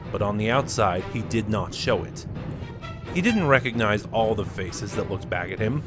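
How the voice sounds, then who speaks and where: A man narrating a fan-made audio drama in a steady storytelling manner. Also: fairly low pitch. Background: music.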